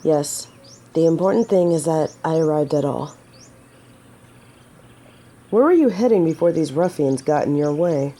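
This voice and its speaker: A woman's voice: deep voice, lively intonation, a little slow, pauses often, mellow. A woman playing a noble, humble lady knight in an audio drama, courteous and protective, with formal, old-fashioned courtly phrasing. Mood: concerned. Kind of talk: scripted roleplay audio drama to the listener.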